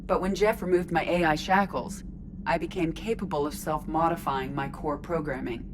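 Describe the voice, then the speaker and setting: An actress playing a curious, logical artificial intelligence in a robot body in a video game, speaking formally, literally and with composure. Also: female voice, low-pitched, fairly even intonation, normal speed, noisy background, lightly acted. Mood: earnest, inquiring. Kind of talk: video game dialogue acting. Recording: clear ship ambience behind voice.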